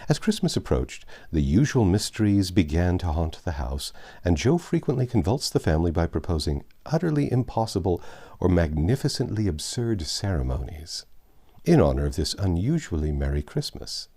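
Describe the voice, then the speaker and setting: Very deep-voiced male storyteller. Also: very animated, normal speed, dark tone, breathy edge. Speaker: audiobook narrator. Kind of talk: reading a classic novel aloud.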